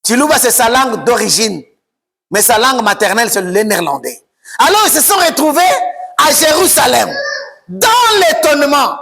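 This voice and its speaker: High, bright-voiced preacher man. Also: sing-song, normal speed, gravelly, slightly rough. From Democratic Republic of the Congo. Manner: shouting, sing-song preaching with repeated phrases. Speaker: pastor. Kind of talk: sermon.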